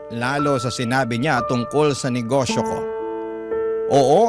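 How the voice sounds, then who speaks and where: A man giving scripted first-person narration in a confessional, wistful manner, in a classic radio-drama style. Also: medium pitch. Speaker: letter narrator. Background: loud background music.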